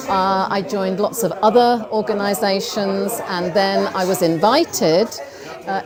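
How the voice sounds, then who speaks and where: Woman over crowd chatter. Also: mid-range voice. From England. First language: Czech. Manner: measured, with frequent ums. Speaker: recruitment consultant. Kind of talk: documentary interview. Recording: busy crowd noise behind.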